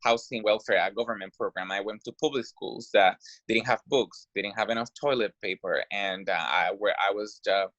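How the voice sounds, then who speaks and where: Earnest man, low and dry. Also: moderate pace, fairly bright tone, dry recording, lo-fi sound. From Puerto Rico. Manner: hesitant, many fillers and restarts, earnest. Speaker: guest, political candidate. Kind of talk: interview answers.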